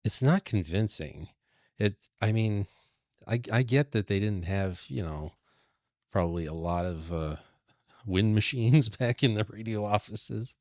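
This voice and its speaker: A man's voice: fairly low pitch, animated, unhurried, darkish tone, no echo, clean studio sound. A man chatting casually as a panelist on a podcast. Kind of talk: casual chat.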